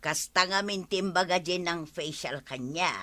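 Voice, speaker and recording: An actress playing a flustered, evasive wife in a regional radio comedy drama, hesitant, stammering and full of exclamations. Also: female voice, fairly low pitch, a little slow, sharp tone, dry recording. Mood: nervous. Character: flustered, evasive wife hiding a money secret.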